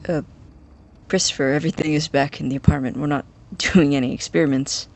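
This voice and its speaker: A woman playing a nervous, awkward young scientist in a naturalistic audio drama, husky and halting, easily flustered. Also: mid-range voice, steady tone, darkish tone, natural voice. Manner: halting, stammering, indignant when challenged.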